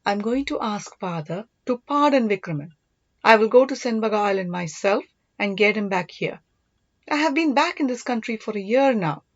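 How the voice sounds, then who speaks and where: A woman's voice: medium pitch, lively intonation, moderate pace, dull audio. A woman voicing a devoted, earnest young prince and older brother in an audiobook, reassuring and teasing. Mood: determined.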